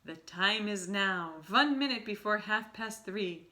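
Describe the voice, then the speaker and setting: A woman voicing the Grand High Witch, a scheming female witch leader who draws out her words slowly and commandingly. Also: expressive, sharp tone. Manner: slow, drawn-out, commanding counting.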